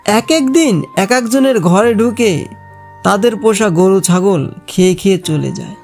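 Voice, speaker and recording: A woman's voice: mid-range voice, lively intonation, a little slow, darkish tone, faint music behind. A woman voicing a worried, plaintive villager in a cartoon fairy tale. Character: worried villager fearing a monster jackal.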